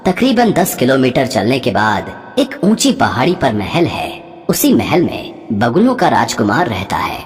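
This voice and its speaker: A solemn forest ascetic from a storybook, acted by a man, slow and calm. His voice hangs in long reverb. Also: mid-range voice. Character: calm wandering male ascetic.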